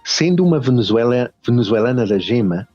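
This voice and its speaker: A man's voice: mid-range voice, animated, fairly quick, dark tone, breathy edge, dry recording, dull audio, soft background music. A man with a European Portuguese accent hosting a radio interview, hesitant and full of fillers. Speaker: radio host.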